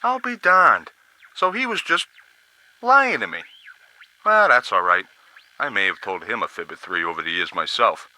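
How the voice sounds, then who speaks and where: An actor playing a folksy, easygoing radio operator in a video game, chatty and plain-spoken. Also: male voice, on the low side, big pitch swings, normal speed, long pauses, bright, forceful tone, no echo, full character voice, rough recording. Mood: chatty, affable. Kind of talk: scripted video game dialogue acting.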